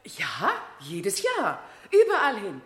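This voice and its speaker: A woman's voice: mid-range voice, huge pitch swings, slow, a bit bright, full character voice. An actress in a seventies radio play, playing an emotional mother who insists on claiming her lost son. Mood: chatty, insistent. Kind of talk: 1970s German radio play acting. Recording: echoey room.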